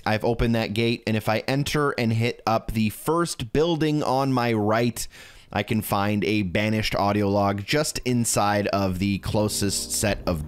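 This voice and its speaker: A man's voice: fairly low pitch, clear, resonant tone. A man narrating a video game walkthrough in a casual, instructional way, talking directly to the viewer. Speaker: video game guide creator.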